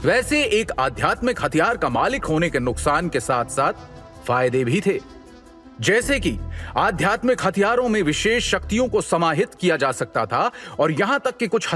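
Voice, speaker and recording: A man's voice: high voice, a bit bright. A man giving scripted dramatic narration as the storyteller of an audio series. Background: background music.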